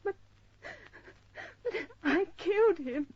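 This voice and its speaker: An actress playing a frightened young secretary who pleads and stammers, breathless, in old-time radio melodrama style. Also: female voice, very high-pitched, lively intonation, takes it slowly, heavily acted. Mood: distraught. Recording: dull old radio recording.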